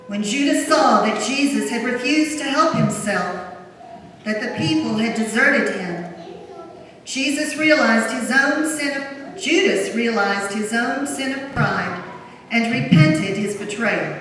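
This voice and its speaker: A woman's voice: on the high side, a little slow, fairly bright tone. A woman narrator giving a solemn, formal dramatic reading of scripture. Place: large church during a service. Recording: echoey church hall, distant, faint noise.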